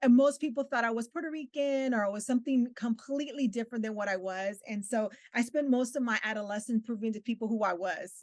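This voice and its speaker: A woman's voice: a little high, fast, no room sound, clean studio sound. A middle-aged woman from Southern California whose first language is Spanish, speaking earnestly as an interview guest, laughing often and using many fillers. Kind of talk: interview conversation.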